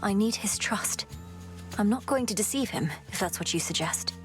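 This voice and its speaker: A woman voicing an earnest, resolute young witch princess in a game, speaking in a measured, quiet way over game music. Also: medium pitch, expressive, lightly acted. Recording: game dialogue with music behind.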